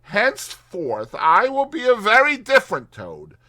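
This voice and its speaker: A conceited storybook toad, acted by a man. The voice is high and swooping, pompous and melodramatic, like a theatrical gentleman full of himself. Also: wildly swooping pitch, unhurried, exaggerated voice. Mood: humbled, emotional. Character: conceited male toad, swaggering, theatrical, self-pitying gentleman. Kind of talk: dramatized audiobook reading.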